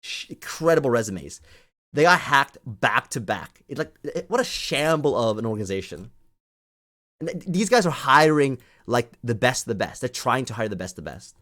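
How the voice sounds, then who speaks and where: A man's voice: mid-range voice, big pitch swings, normal speed, a bit bright. A man ranting off the cuff to an online audience as a community host, scolding and emphatic, often repeating phrases to drive them home.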